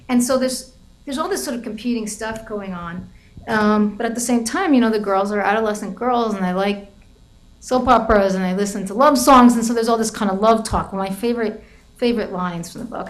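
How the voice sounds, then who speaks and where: A middle-aged woman giving an academic talk about her book, telling classroom stories with lots of hedging and restarts. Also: medium pitch. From United States. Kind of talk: academic lecture with anecdotes.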